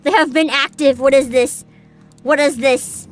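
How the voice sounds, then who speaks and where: A woman chatting casually to viewers as a livestream host, with a giggly, expressive manner full of interjections. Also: high voice, unhurried, faint music behind.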